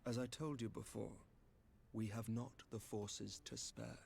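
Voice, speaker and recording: An actor playing a courteous, grave knight commander in a fantasy game, speaking in formal, measured, archaic phrases with a slightly creaky voice. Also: male voice, fairly low pitch, level tone, a little mellow. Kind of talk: scripted fantasy game dialogue.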